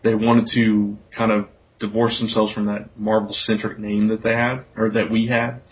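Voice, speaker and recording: A man with a lowish voice hosts a podcast in a loose, conversational way. He rambles informally through his points, and the audio is somewhat dull and lo-fi. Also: level tone, moderate pace. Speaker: podcast host. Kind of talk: casual podcast chat.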